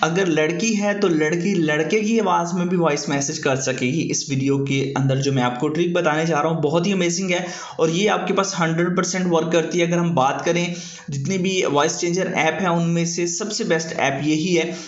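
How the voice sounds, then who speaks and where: A man's voice: a little high, steady tone, moderate pace. A man hosts an informal app tutorial, explaining in a repetitive, filler-heavy way. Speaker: YouTube tutorial host. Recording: echoey room, dull muffled sound.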